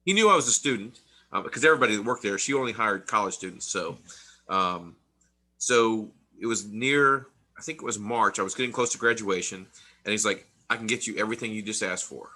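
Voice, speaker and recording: Bright-voiced middle-aged man, rambling. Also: fairly low pitch, brisk. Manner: rambling, many restarts, laughs between thoughts. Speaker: interview guest, Army veteran. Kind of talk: casual conversational interview.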